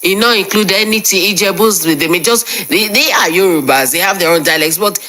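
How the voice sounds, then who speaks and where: Bright, high Nigerian man in a noisy clip. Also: fairly quick. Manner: emphatic, switches between English and Pidgin. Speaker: speaker in a played clip. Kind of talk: casual spoken message.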